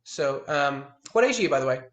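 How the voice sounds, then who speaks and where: 30s guy teaching guitar over a call, bit high voice, keeps repeating himself to stress stuff, echoey room. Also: lively intonation, fairly quick. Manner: emphatic, repeats words as he restarts, frank. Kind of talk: one-on-one lesson consultation.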